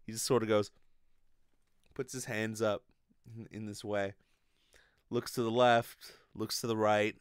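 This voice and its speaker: A man in his thirties giving a casual comic monologue as a podcast host, animated, rambling and sweary, close on a desk mic. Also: mid-range voice, smooth, dry recording. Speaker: podcast host, improv performer. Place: small bedroom, indoors. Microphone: desk condenser mic with pop filter, close.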